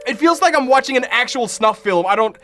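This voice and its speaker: A man's voice: very high voice, expressive, brisk, over music. A man live-streaming his reaction to horror videos, reading text aloud and commenting dramatically, often repeating his phrases.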